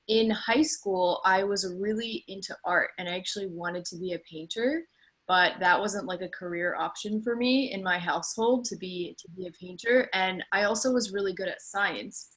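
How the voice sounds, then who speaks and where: A woman's voice: mid-range voice, fairly bright tone, poor audio. A woman in her thirties talks informally about her own career as a panel guest, in an earnest, conversational way. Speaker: designer and small business owner, panel guest.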